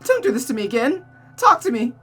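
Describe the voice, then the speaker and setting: A shrill, unhinged young woman killer. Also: sing-song, brisk, fairly bright tone, husky, faint music behind, full character voice. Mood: desperate pleading. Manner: shrill, pleading, tearful, dramatic. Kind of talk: visual novel voice acting.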